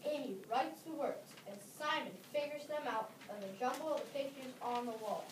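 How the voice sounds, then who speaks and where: A high-voiced female narrator reads a scripted story aloud in halting phrases. She sounds far from the mic in an echoey room, with clear noise behind her.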